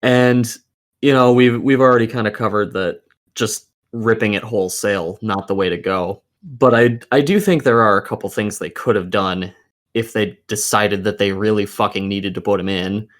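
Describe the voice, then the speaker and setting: A man's voice: fairly low pitch, moderate pace. A man co-hosting a casual video game discussion, giving opinions with plenty of swearing. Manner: profane, opinionated. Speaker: podcast co-host.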